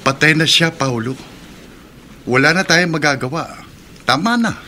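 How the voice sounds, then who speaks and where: An actor playing a firm, reassuring friend in a radio drama. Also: male voice, on the high side, normal speed, sharp tone, a little hiss or hum. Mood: insistent. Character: firm, reassuring male friend of a guilt-ridden man.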